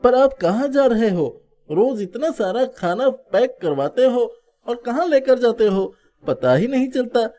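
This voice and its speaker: A woman's voice: fairly high pitch, animated, measured pace, warm tone. A woman voicing an eager, deferential young male restaurant helper in a storybook tale. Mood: eager, curious. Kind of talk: animated storybook dialogue.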